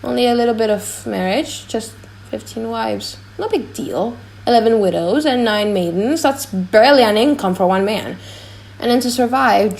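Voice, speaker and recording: A woman's voice: medium pitch, gravelly, darkish tone, a little hiss or hum, low-quality recording. A woman playing a cheeky, rambling young male servant clown in an audiobook drama, often breaking off mid-thought.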